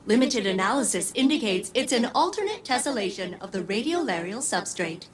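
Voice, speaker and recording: Quirky female game AI, eager and sincere, high voice, swooping intonation, precise robotic phrasing, music behind. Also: big pitch swings, a little fast, a little hiss or hum. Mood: analytical. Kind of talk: video game cutscene acting.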